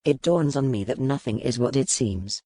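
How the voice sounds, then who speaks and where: An actor playing a stern, brooding medieval duke in an audiobook, with a commanding, formal manner. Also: male voice, mid-range voice, animated, normal speed, mellow, dry recording, close to an everyday voice. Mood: grim, reflective. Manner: commanding, formal period speech. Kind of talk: dramatic audiobook character acting.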